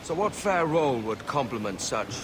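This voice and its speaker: An actor playing a brash, cocky young pirate captain with a Welsh accent and a wry, bantering manner in a video game. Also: male voice, mid-range voice, big pitch swings, moderate pace, noisy background, lightly acted. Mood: easy, wry. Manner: wry, bantering, seafaring turns of phrase. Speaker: ship's captain. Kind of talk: video game cutscene acting.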